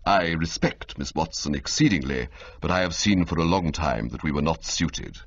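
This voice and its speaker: A man voicing an unflappable, formal male valet who speaks quietly and deferentially. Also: a bass voice, expressive, normal speed, slightly rough, heavily acted. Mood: grave. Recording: slightly muffled lo-fi audio.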